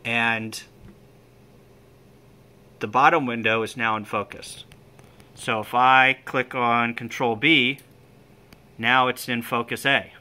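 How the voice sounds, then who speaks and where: A man talks through a piece of gear in an informal walkthrough. His voice is bright and forward, at a middling pitch. He goes slowly, one step at a time, in short halting phrases. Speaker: amateur radio hobbyist demonstrating equipment. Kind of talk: informal hands-on demonstration. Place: indoor room with equipment on folding tables. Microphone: handheld camera or phone, operator behind it.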